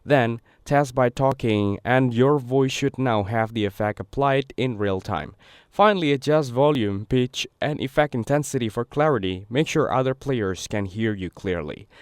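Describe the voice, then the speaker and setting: A man reading a scripted step-by-step tutorial in a measured, instructional way. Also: mid-range voice, clear, resonant tone, no room sound, studio quality. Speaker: tutorial narrator.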